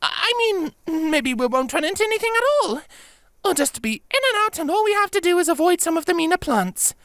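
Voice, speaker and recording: A man's voice: very high-pitched, sing-song, fairly quick, a bit bright, pitched above the performer's own voice, exaggerated voice. A man voicing a worried, timid young princess filly with an anxious, questioning way of talking in a storybook reading. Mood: anxious, hesitant.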